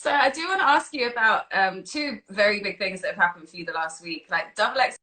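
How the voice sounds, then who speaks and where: A woman's voice: mid-range voice, expressive, a little fast, sharp tone, roomy sound. A woman hosting a casual live-stream interview, asking chatty, informal questions. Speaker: interviewer, host. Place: live-streamed video call.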